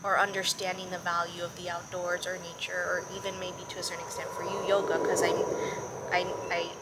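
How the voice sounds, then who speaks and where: A woman's voice: on the low side, little pitch movement, fairly quick, very noisy. A Colombian-born woman living in Miami, hosting a podcast and speaking reflectively, with hesitant restarts. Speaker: podcast host. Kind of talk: casual interview conversation.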